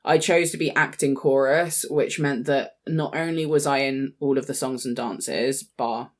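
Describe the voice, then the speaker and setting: A woman's voice: very deep voice, steady tone, a little slow. A British woman in her thirties talking as a podcast co-host in a casual, informal and self-deprecating way. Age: 30s. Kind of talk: casual chat.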